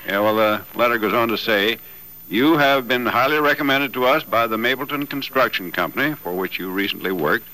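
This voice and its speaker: A man's voice: lowish voice, bright, forceful tone, poor audio. A man acting a modest, wry builder in an old-time radio drama, folksy, dry and musing, on a vintage broadcast recording. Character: modest, wry builder in a wheelchair, skeptical and teasing.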